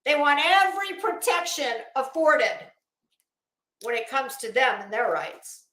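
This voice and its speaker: A woman with a high voice delivers scornful, opinionated livestream commentary. She takes her time, leaning on words and repeating them for emphasis. The sound is roomy, with a noticeable echo. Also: very animated, a bit bright. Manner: blunt, scornful, emphatic, with deliberate repetition. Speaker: livestream host.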